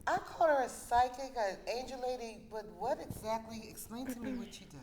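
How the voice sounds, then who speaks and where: High-voiced female talk show host. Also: a little fast, darkish tone, a little hiss or hum, poor audio.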